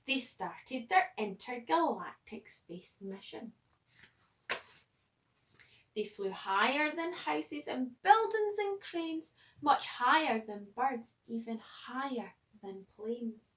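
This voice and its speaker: A woman reading a children's picture book aloud like a teacher, expressively, with dramatic pauses and emphasis. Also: a little high, expressive, a bit bright, recorded from a distance. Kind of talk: animated children's storybook read-aloud.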